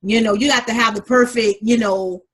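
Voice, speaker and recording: A sassy, animated woman with a husky, breathy voice talks in a relaxed, chatty way, sitting somewhat high for a woman. She calls her listeners honey and leans into her points with flair. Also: normal speed. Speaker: podcast co-host. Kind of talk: casual chat.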